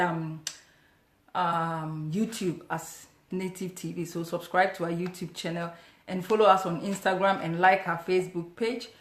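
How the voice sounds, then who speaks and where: Excitable woman hosting, echoey room. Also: lowish voice. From Ghana. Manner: excitable, laughs often, many fillers. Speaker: host of an online talk show. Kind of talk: live-streamed interview. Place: home in Brisbane.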